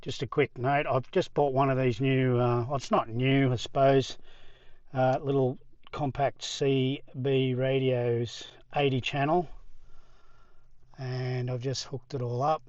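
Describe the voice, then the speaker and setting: A man's voice: medium pitch, flat delivery, unhurried, darkish tone, dry recording. A man with an Australian accent chatting casually to viewers as a vlog host, in an easygoing, rambling way. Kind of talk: casual chat to camera. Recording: slightly dull lo-fi audio.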